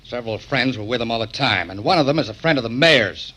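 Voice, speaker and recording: Bright, crisp-mannered police officer. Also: male voice, mid-range voice, expressive, fast talker, rough recording. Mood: businesslike. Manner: deferential, factual. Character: dutiful, matter-of-fact junior police officer. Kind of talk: 1940s radio crime drama acting.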